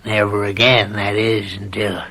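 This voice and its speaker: Sly, drawling old American farm boss. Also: male voice, lowish voice, level tone, measured pace, gravelly, bright, forceful tone, full character voice. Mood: leering. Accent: rural American. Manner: folksy, mock-kindly, needling. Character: sly, lecherous, domineering older male farm boss. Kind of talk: radio drama acting.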